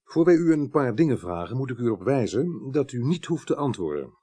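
An actor playing a formal, unhurried police inspector, plain-spoken and procedural, in a Dutch radio drama. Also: male voice, mid-range voice, sing-song, mellow, slightly rough. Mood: formal, methodical.

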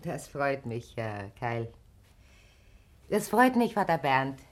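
A woman's voice: very deep voice, sing-song, slow, heavily acted. A woman acting a kindly, frank middle-aged wife in a German radio drama, speaking in a motherly, colloquial way. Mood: kindly, welcoming.

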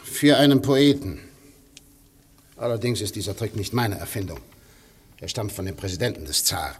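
An actor in a radio play playing a proud, conspiratorial anarchist poet with a rough, husky voice and a declamatory, intense manner. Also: male voice, lowish voice, moderate pace, a little hiss or hum, lightly acted. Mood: proud, confiding. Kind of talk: German radio play acting.

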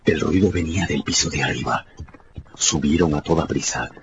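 A man's voice: extremely low pitch, normal speed, very noisy. A man narrating a scripted story for radio in a dramatic storytelling style. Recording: dull sound with music behind.

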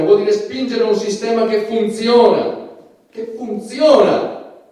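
An anguished judge delivering a theatrical monologue, declamatory and self-justifying. The voice sits fairly high for a man and rings through a very echoey space, caught at a distance from the microphone. Guilt and defiance run through a stage delivery. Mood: impassioned, defiant. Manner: declamatory, with swings from hushed to shouting.

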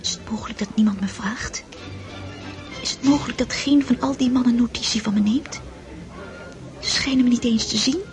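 High-voiced eager young girl, played by an actress. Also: moderate pace, long pauses, over music, close to an everyday voice. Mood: yearning, distressed. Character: eager, romantic young girl at her first grand ball. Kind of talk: Dutch radio drama acting.

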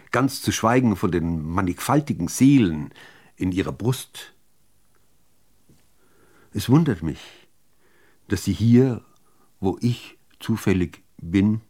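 A man reciting literary poetry in deliberate, measured phrases with pauses between them. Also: fairly low pitch, a little mellow, slightly rough. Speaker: reader of poetry.

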